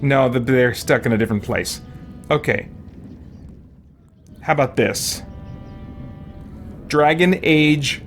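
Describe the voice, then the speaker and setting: A man's voice: medium pitch, slow pace, pauses often, faint music behind. A man streaming a video game, commenting casually to himself with folksy, mildly exasperated exclamations.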